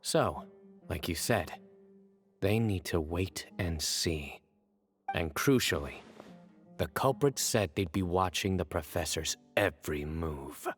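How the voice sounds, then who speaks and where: A stern male investigator from a video game, low and composed. He speaks with measured authority, with soft music behind him. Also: expressive. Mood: thoughtful.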